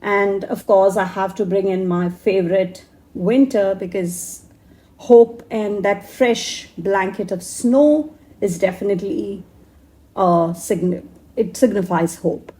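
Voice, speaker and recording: Woman crafter, slightly hoarse from a cold, mellow voice, slow halting voice-over narration. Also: mid-range voice, low-quality recording. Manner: slow, halting, many fillers and restarts. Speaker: craft YouTuber.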